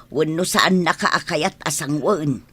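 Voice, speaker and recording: A woman's voice: deep voice, slow, a bit bright, slightly rough, full character voice. An actress playing an exasperated, protective elderly mother in a radio drama, with an Ilocano accent. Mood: nagging, exasperated.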